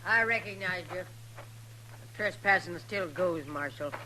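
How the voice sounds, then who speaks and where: A woman's voice: on the low side, slow, sharp tone, poor audio. An actress playing a hostile, hardened frontier homesteader woman, curt and defiant, in an old radio western. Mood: hard, hostile. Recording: 1950s radio recording, faint noise.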